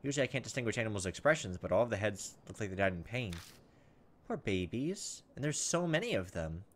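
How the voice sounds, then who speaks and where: A man's voice: lowish voice, a little fast. Man narrating a game over noticeable noise. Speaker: let's play host. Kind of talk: game playthrough, reading text aloud with casual commentary. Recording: steady background noise over the voice.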